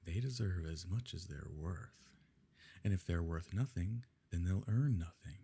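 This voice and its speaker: A man playing a possessive evil nobleman in an ASMR romance roleplay, speaking in a measured, formal, quietly coercive way with a husky, gravelly voice. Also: low-pitched, animated, exaggerated voice. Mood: cold, menacing. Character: smooth, possessive evil nobleman courting a grieving beloved. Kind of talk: ASMR romance roleplay, scripted dramatic monologue.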